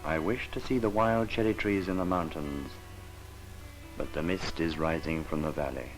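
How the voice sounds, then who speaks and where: A man narrating a scripted 1950s educational film in a solemn, measured, poetic manner. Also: very deep voice, soft background music. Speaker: documentary narrator. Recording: noisy 1950s archival film soundtrack.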